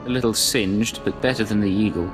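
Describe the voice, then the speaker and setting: An actor playing a cool, controlled imperial spy who speaks curtly. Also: male voice, fairly low pitch, fairly even intonation, brisk, big-room sound, over music, lightly acted. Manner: curt, controlled. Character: cool male imperial spy, calm and curt. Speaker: agent.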